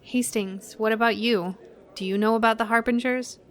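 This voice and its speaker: A woman's voice: fairly high pitch, steady tone, normal speed, natural voice. A woman playing a guarded, weary young woman in a naturalistic audio drama.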